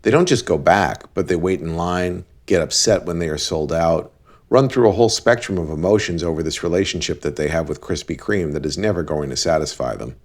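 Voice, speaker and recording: A man playing a blunt, deadpan cab driver who gives relationship advice in an animated series. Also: low-pitched, a little fast. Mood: dry, explanatory.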